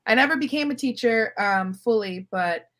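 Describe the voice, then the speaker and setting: A woman in her twenties from Miami, a filmmaker guest telling her story in a relaxed, animated way, laughing easily. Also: a little high, normal speed. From Miami, Florida. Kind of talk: casual interview chat.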